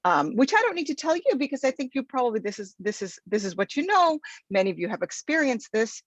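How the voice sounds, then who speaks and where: A woman's voice: fairly high pitch, very animated, fast talker, no room sound. A woman from Argentina, a sociology professor, giving an informal lecture over a video call, talking casually with many ums and restarts. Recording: dull lo-fi video call audio.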